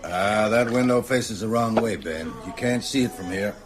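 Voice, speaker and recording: A man's voice: lowish voice, moderate pace, music in the background, natural voice. An actor playing a sociable, shrewd older small-town man in an audio drama, conversational and probing. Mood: probing, uneasy. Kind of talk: naturalistic audio drama dialogue.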